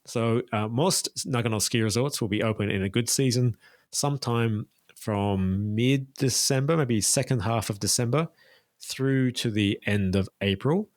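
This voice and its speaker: A man's voice: mid-range voice, moderate pace, dry recording, studio quality. A man who works as a tour guide, talking as a guest in a casual interview and giving detailed, enthusiastic recommendations.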